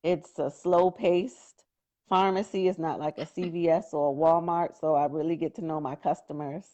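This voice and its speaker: Low-ish voiced woman on a phone video call, kinda muffled audio, easygoing, lots of ums. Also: moderate pace. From Connecticut. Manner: relaxed, friendly, many ums. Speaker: interview guest, pharmacist. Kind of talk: casual live-stream chat.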